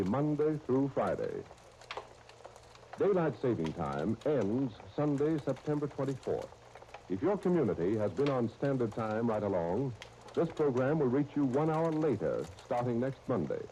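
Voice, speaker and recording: A man's voice: mid-range voice, dark tone. An American man reading scripted announcements as a 1930s radio serial announcer. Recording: noisy 1930s radio disc recording.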